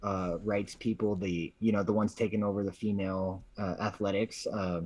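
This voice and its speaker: A man with a husky voice chats casually as a co-host, slangy and profane, repeating phrases for emphasis. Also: lowish voice, flat delivery, moderate pace, a little mellow. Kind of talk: casual opinionated chat.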